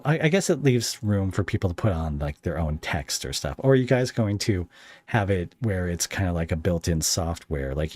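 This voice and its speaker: A man's voice: deep voice, darkish tone, no echo. A man hosting a casual interview podcast, talking in a rambling, self-deprecating way.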